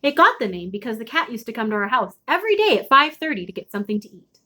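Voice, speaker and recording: Woman reading aloud with very lively pitch. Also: fast talker. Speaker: storybook read-aloud host. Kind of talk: expressive read-aloud of a children's chapter book.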